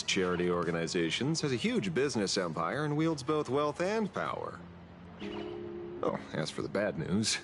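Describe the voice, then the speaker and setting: Low, roguish, drawling hired muscle. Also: male voice, a bit bright. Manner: teasing, drawling, easygoing. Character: roguish, amused, worldly male muscle-for-hire. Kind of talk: video game voice acting.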